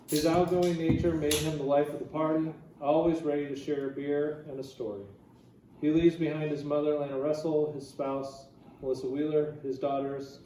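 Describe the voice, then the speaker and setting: A man's voice: a little high, mellow. Guy pastor talking at a memorial, lots of ums, echoey hall, background hiss. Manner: plain-spoken, many fillers. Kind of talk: memorial service eulogy. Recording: echoey hall, far from mic, noisy.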